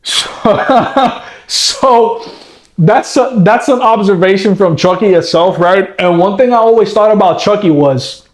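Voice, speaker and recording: High-voiced man ranting. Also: a little slow, reverberant. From Puerto Rico. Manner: rambling, restarts with "right?" and "bro". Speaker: horror movie reviewer. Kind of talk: casual rant to camera.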